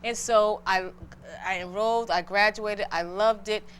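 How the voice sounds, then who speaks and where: A woman's voice: mid-range voice, steady tone, a little slow, a bit bright, clean tone. A middle-aged woman from Boston, a guest entrepreneur earnestly telling her own story in an interview.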